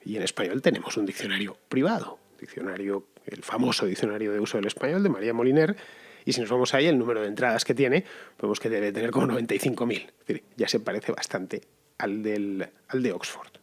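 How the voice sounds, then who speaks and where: A man's voice: lowish voice, husky. Man hosting a listener-question podcast. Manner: relaxed and conversational, pauses with fillers. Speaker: podcast host answering listeners. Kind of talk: casual spoken commentary.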